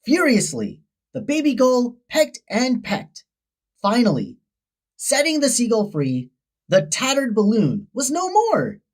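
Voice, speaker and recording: Female storybook narrator, expressive, slow-paced and highly animated. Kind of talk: scripted children's story read-aloud.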